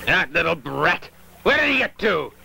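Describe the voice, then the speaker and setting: A furious old male beggar in an animated film. He is high and gravelly, swooping wildly in pitch as he blusters and threatens. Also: normal speed, slight background noise, exaggerated voice. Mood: grumbling, angry. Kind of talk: dubbed animated film acting. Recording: music behind the voice.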